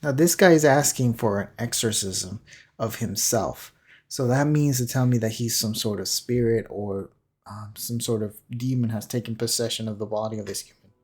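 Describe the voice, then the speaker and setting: A man's voice: medium pitch, normal speed, darkish tone. A man giving unscripted, explanatory commentary on a video game, musing tentatively as he goes. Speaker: gaming commentator. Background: faint music.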